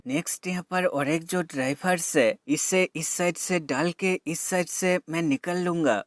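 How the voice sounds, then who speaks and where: A man's voice: a little high, normal speed, soft background music. A man giving a scripted product demo voice-over as a reviewer, explaining plainly step by step and mixing in English terms.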